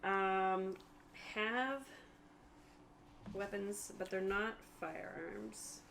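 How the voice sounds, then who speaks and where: A woman running a tabletop game as game master, narrating and thinking aloud with hesitant pauses. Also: medium pitch, fairly even intonation, gravelly, fairly bright tone, slight background noise. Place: tabletop game session with other players.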